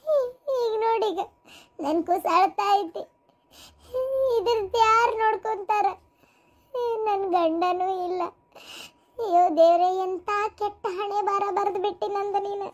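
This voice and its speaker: A woman's voice: extremely high pitch, fairly even intonation, a little slow, pauses often. A woman voicing the grieving, restless ghost of a young mother in a melodramatic cartoon story, lamenting with a North Karnataka accent. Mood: anguished, tearful. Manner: plaintive, lamenting.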